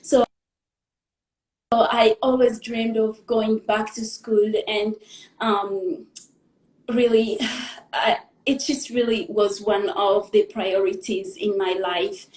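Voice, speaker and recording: A young woman from the Democratic Republic of the Congo tells her life story as a guest in a live interview, in an earnest, halting way, pausing to gather her thoughts. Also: mid-range voice, steady tone, darkish tone, reverberant, poor audio. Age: young adult.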